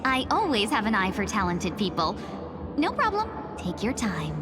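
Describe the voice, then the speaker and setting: A woman voicing a cheerful, scheming young merchant in a game, with loud music behind. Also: medium pitch, huge pitch swings, normal speed. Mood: coaxing. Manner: sweet-talking, eager salesperson.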